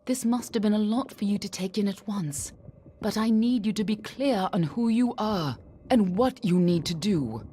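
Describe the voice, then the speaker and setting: An actress playing a stern, guarded warrior woman in a fantasy game, speaking in a cool, serious, measured way. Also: medium pitch, expressive, dark tone, slight background noise, lightly acted. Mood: cool, firm. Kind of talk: dramatic fantasy game dialogue.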